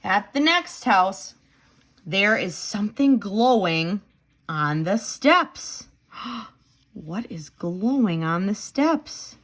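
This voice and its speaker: A woman's voice: medium pitch, extremely slow. A woman reading a children's storybook aloud expressively, asking questions of young listeners in a dramatic manner. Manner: animated, with dramatic gasps and simple clear phrasing.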